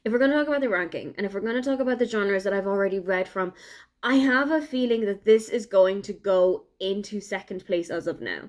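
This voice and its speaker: A woman's voice: mid-range voice, a little fast. Chatty Irish woman vlogging about books. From Ireland. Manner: chatty, enthusiastic, thinking aloud. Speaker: book vlogger. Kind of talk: casual vlog diary.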